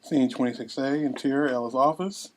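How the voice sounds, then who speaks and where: A narrator delivers scripted stage directions for a table read. The voice is of middling pitch. The recording is noticeably noisy, with small clicks. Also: fairly quick.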